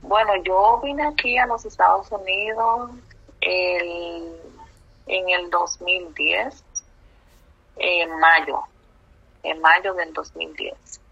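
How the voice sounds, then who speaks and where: Lady, slow and hesitant, lots of "eh", kinda dull muffled sound. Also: on the low side, creaky, sharp tone. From Dominican Republic. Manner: slow, hesitant answers with fillers. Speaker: interviewee. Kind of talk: oral history interview.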